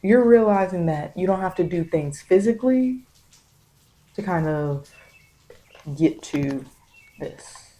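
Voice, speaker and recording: A woman giving a soft ASMR tarot reading, speaking in a halting, repetitive, reassuring way as she offers spiritual guidance. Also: fairly low pitch, animated. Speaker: tarot reader.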